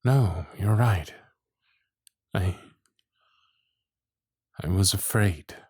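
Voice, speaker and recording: A man playing an earnest, lovestruck young gentleman with a tender, halting, pleading delivery in a romantic audio roleplay. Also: lowish voice, husky, no room sound, studio quality. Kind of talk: romantic ASMR roleplay acting.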